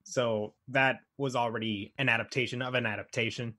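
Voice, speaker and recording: A man's voice: mid-range voice, steady tone, moderate pace, pushed and bright. A man co-hosting a casual podcast, blunt in his opinions and given to swearing. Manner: blunt, profane. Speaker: podcast co-host. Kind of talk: casual chat about film and game adaptations.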